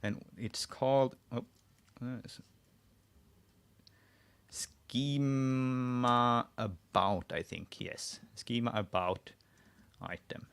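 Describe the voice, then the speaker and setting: A man with a Swedish accent hosting a live screen-share tutorial, thinking aloud as he types, often pausing and restarting his sentences. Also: mid-range voice, steady tone, a bit bright, clean tone. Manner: thinking aloud while typing, frequent restarts. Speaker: host.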